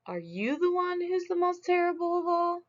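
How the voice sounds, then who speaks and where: Lady putting on a high stompy monster guy, bragging loud, lo-fi sound. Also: sing-song, moderate pace. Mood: demanding. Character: vain male monster, boastful and stompy. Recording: dull lo-fi recording.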